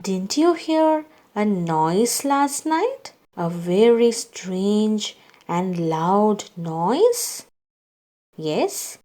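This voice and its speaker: Woman reading a kids storybook out loud, super slow and deliberate, really up and down pitch. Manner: slow, deliberate delivery for young children. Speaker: children's storybook narrator. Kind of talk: scripted read-aloud children's story with character dialogue.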